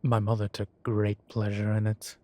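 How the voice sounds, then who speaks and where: A man playing a composed, teasing demon professor in a roleplay audio, speaking in a formal, measured and wry way. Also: on the low side, monotone, darkish tone, no echo, natural voice. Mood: fond reminiscing. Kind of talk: scripted roleplay audio, one side of a conversation.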